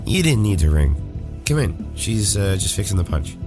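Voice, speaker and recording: A man's voice: sits low, big pitch swings, normal speed, loud music behind the voice. A man acting a laid-back, friendly teenage boy in an audio drama, with a casual, offhand way of talking.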